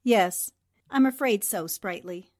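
A woman's voice: medium pitch, slow, darkish tone. A woman playing a worldly, teasing newspaperwoman in 1940s radio style, theatrical and a touch condescending. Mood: brisk, knowing.

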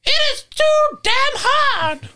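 A man putting on a shrill, boastful little male mongoose, far above his own voice, grumbling and bragging in a squeaky, loud, bouncy squeal. The creature is cocky and crude. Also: very animated, slow pace, a little hiss or hum, full character voice. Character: cocky foul-mouthed male talking mongoose.

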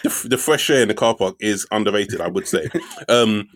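A young comedian from London with a somewhat low voice tells stories in a loose, joking way. He fills his talk with ums and casual swearing. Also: a little fast, no echo, clean studio sound. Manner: laid-back joking storyteller, swears, many fillers. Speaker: comedian, podcast co-host. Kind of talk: casual comedy podcast chat.

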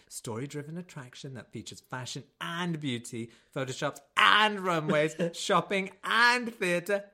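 A woman with a bright, sharp voice and highly animated intonation. She talks casually and comedically as a podcast co-host. Her manner is incredulous and teasingly mocking.

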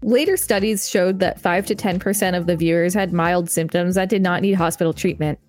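A woman co-hosting a podcast, reading an article aloud and chatting, with wry, quick reactions. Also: lowish voice, a little mellow, soft background music. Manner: wry, quick reactions between read passages. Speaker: podcast co-host.

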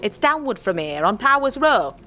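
A woman playing a gruff, wary old witch woman in an audio drama, blunt and teasing, speaking in rustic dialect. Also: on the high side, big pitch swings, moderate pace, pushed and bright. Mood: bossy.

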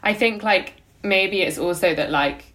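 A woman's voice: medium pitch, unhurried, fairly bright tone. A woman co-hosting a podcast, chatting in an informal, conversational way. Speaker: podcast co-host. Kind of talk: casual podcast chat giving recommendations.

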